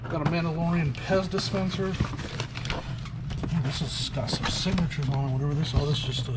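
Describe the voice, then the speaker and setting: A middle-aged man with a slightly raised pitch chats upbeat as he sorts through boxes, thinking aloud about what each item is worth. He is recorded on a head-mounted action camera inside a metal storage unit. Loud noise runs under his voice. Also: steady tone, moderate pace. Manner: chatty, upbeat, thinking aloud while sorting items. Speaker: storage locker reseller. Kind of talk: casual unboxing commentary.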